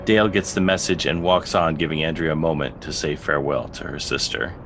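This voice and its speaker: Man, narrator, low deep voice, scripted narration, music behind, video call. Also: steady tone, fairly quick.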